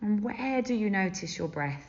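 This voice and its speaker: A woman leading a guided meditation as a teacher, gentle and soothing, with unhurried phrases and long pauses. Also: mid-range voice, expressive. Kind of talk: guided body scan meditation. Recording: dull, muffled lo-fi sound.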